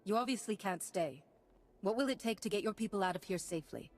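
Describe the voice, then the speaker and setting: An actress playing a steadfast, confident heroine in a video game, composed and assured. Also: female voice, medium pitch, expressive, fast, faint music behind, close to an everyday voice. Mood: reassuring. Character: steadfast, confident female Jedi hero. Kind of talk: video game character acting.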